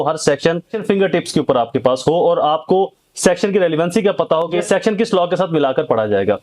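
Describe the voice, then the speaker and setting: A man's voice: high voice, a little mellow. A man with a Pakistani accent giving an instructive, methodical explanatory lecture, mixing in English terms. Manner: code-switches between Urdu and English terms. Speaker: host of a legal education channel, lecturer.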